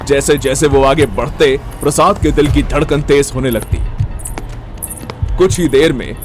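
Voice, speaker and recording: A man's voice: mid-range voice, a little slow, faint music behind. A man narrating a scripted audio story.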